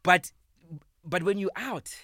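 Thirties man on a studio mic, lively and emphatic podcast guest, slightly raised pitch, very dry sound. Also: slow, no room sound. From South Africa. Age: 30s. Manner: animated, emphatic, swears freely, repeats words. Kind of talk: casual podcast conversation. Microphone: studio microphone.

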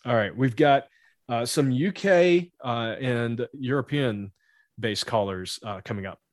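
A man's voice: medium pitch, slow pace, no echo, studio quality. A man co-hosting a podcast in easygoing casual chat, laughing often. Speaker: podcast co-host.

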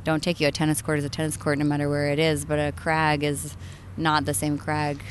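A woman's voice: low-pitched, completely flat delivery, a little fast, a little hiss or hum. A woman from Maine, a journalist in her thirties, chatting as an interview guest, laughing often and trailing into fillers. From Maine, USA. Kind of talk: casual interview conversation.

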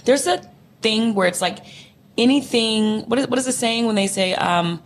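A hoarse woman co-hosting a casual podcast chat, chatty and searching for her words. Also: fairly high pitch, moderate pace, a little hiss or hum. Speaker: co-host. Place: podcast table in a home studio.

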